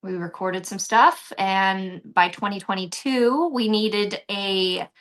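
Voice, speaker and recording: Animated American woman in casual conversation. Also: medium pitch, takes it slowly. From San Antonio, Texas, United States. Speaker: interview guest, actress and swing band musician. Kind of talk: casual conversational interview, personal storytelling.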